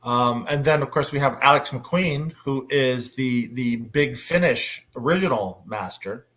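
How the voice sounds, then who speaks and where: Enthusiastic, halting male panel host. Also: mid-range voice, fairly bright tone. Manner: enthusiastic, halting with frequent pauses. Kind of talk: casual fan panel discussion.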